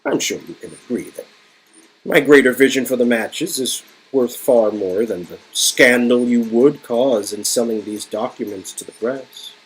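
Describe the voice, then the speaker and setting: A man's voice: mid-range voice, measured pace, husky, low-quality recording. A man voicing a menacing, cold villain boss who can turn snarling and frantic, in a dramatic game dialogue reading. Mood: cold menace.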